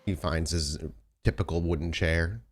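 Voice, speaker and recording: A man's voice: low-pitched, moderate pace. A man narrating a tabletop roleplaying game as the storyteller.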